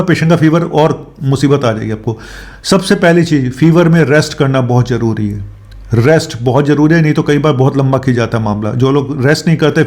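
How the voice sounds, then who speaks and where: A man's voice: fairly high pitch, a little mellow. Conversational man giving health advice. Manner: conversational, mixes in English medical terms, often checks with tag questions. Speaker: doctor hosting a health advice show. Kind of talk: informal health explainer talk to viewers.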